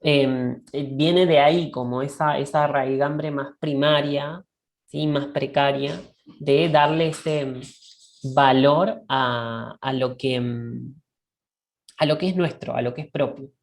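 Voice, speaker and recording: A woman with a notably low voice talks through ideas slowly and reflectively. She pauses often and restarts phrases. She keeps checking in with her listener, as if over a video call. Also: steady tone, vocal fry. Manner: thoughtful, halting, often checks in with a questioning "right?". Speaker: discussion co-host. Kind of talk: reflective conversational dialogue.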